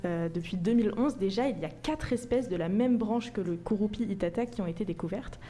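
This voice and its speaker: Young female columnist, mellow timbre, chatty and self-mocking delivery. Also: medium pitch, a little fast. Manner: chatty, laughs easily, self-deprecating asides. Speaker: podcast columnist. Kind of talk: presenting a science segment.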